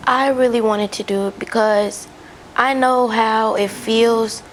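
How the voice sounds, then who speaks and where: A woman's voice: a little high, steady tone, slow pace, a little hiss or hum, poor audio. An American teenage girl giving an informal interview answer as a youth volunteer. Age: teens.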